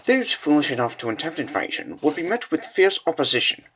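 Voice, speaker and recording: A man's voice: a little high, sing-song, a little fast, fairly bright tone, slight background noise. A man reading dramatic scripted narration for a comic dub.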